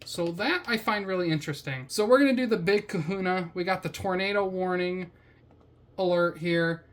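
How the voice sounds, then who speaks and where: A woman's voice: on the low side, moderate pace. A woman hosting a casual product review, chatting in a wry, slangy and easily amused way. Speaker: product reviewer, vlog host.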